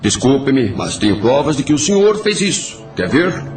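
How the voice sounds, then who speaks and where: Male actor as insinuating blackmailer, audio drama, implacable, lively intonation, echoey, light music behind. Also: mid-range voice, normal speed, close to an everyday voice. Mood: coolly menacing. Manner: insinuating, formal, menacing. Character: implacable male blackmailer, smooth-talking criminal uncle.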